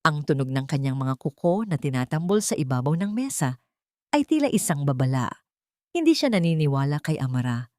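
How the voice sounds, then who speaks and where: A woman's voice: low-pitched, sing-song, brisk, mellow, no echo, studio quality. A woman with a Filipino accent narrating a scripted dramatic story as an audiobook.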